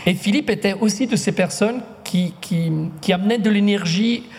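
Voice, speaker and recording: A man's voice: high voice, a little mellow, big-room sound, slight background noise. A man whose first language is Italian, moderating a literary talk in French, speaking thoughtfully with frequent restarts. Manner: thoughtful, halting, many restarts. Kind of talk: hesitant conversational interview.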